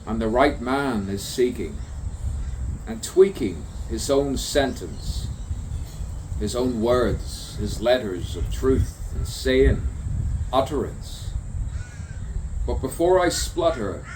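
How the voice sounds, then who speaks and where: A man improvising stream-of-consciousness spoken-word poetry in short, deliberate, theatrical phrases full of rhyme and repetition. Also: mid-range voice, expressive, darkish tone, rough recording. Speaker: poet. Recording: background noise of insects and birds.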